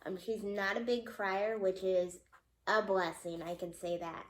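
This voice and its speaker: A woman's voice: mid-range voice, little pitch movement, a little slow, slight background noise. Young woman, mom vlogger, congested, slightly nasal, chatty with fillers, phone mic, echoey room. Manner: chatty, lots of ums and likes. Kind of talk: casual mom vlog update.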